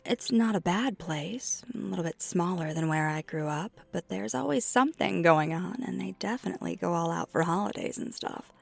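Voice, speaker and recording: A woman playing a flirty, friendly vampire in an ASMR roleplay, speaking softly and reassuringly with a breathy, near-whispered delivery. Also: fairly low pitch, normal speed, few pauses. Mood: chatty, curious. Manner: soft-spoken, gently reassuring, often breathy near-whisper. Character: flirty friendly female vampire teaching ice skating. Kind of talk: ASMR roleplay, one side of a conversation. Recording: music behind the voice.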